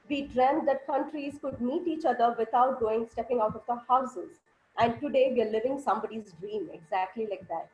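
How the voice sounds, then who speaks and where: A woman, a club president with a hoarse, coughing throat, giving cheerful storytelling feedback at an online meeting. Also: a little high, darkish tone, roomy sound, poor audio.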